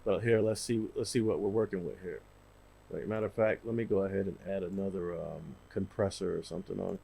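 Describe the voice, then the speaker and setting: Slightly raspy man talking casually. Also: sits low, fairly quick. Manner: relaxed, rambling, many fillers. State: losing his voice, a bit raspy. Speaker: music producer. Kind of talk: casual screen-share tutorial. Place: home studio, indoors. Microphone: headset.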